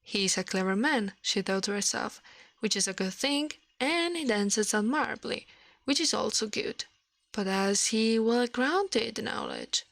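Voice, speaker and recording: A woman reading a fairy tale aloud in a soft ASMR style, careful and a little halting, close to the microphone. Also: on the high side, animated, vocal fry, no room sound.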